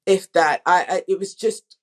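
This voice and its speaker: A woman's voice: on the low side, normal speed. A woman speaking as a drama director, telling candid anecdotes in an informal, emphatic way and acting out quotes.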